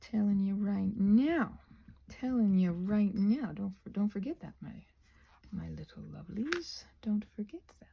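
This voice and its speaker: A woman rambling casually in an ASMR style while crafting, musing aloud and gently reassuring, her soft breathy voice often slipping into a whisper. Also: medium pitch, lively intonation, unhurried, slight background noise.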